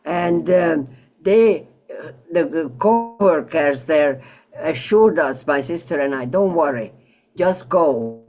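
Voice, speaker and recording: An elderly woman recalling her life in an interview, halting and reflective as she searches for words over an online call. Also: deep voice, expressive, muffled recording. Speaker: Holocaust survivor interviewee. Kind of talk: personal recollection in an interview. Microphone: video call.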